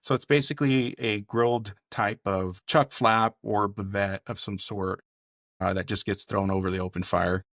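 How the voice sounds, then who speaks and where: A man from California speaks as a guest chef on a podcast. His voice sits fairly low and is recorded close and dry. He tells his stories in a casual, conversational way, filling them out with detail. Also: steady tone, normal speed, a bit bright, dry recording. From Bakersfield, California. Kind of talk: casual interview storytelling.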